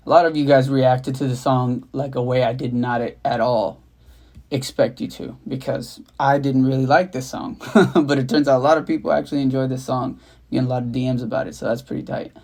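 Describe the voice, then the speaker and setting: A man's voice: mid-range voice, steady tone, moderate pace, a little mellow. A young man hosting a casual livestream, rambling loosely, laughing at himself and swearing offhandedly from his home studio. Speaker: rapper and beatmaker, livestream host.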